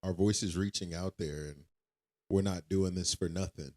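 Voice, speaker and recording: A young man with a very low voice talking earnestly on a podcast. He sounds reassuring and circles back over his own words as he thinks aloud. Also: fairly even intonation, normal speed, darkish tone. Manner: earnest, reassuring, repeats himself. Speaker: podcast co-host. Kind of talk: casual faith-based podcast chat.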